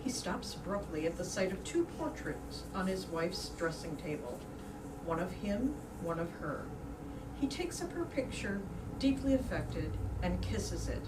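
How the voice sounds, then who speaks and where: A woman's voice: on the low side, expressive, a little slow. A woman reading stage directions as narrator of a staged play reading. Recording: distant mic, echoey room, background noise.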